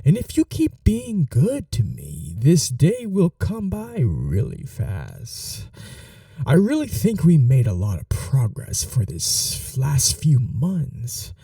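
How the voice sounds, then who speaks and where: A man playing a possessive, controlling male vampire in an ASMR roleplay, soft-spoken and calmly menacing, slipping into whispers. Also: mid-range voice, slow pace, creaky, no echo, distant mic, exaggerated voice. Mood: doting. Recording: voice a little off-mic, dry.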